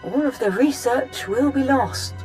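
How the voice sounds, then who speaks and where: An earnest female scientist from a science fiction game. Her voice is a little high, with careful, apologetic, technical delivery. Music runs underneath. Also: unhurried, darkish tone, poor audio. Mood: uneasy, careful. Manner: careful, formal, technical phrasing. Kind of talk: video game cutscene acting.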